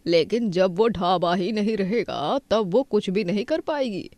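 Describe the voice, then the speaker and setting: A woman's voice: mid-range voice, lively intonation, unhurried, a little mellow, no echo, natural voice. A woman voicing a resentful, scheming middle-aged village mother in a cartoon story, speaking with vindictive emphasis. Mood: bitter, scheming.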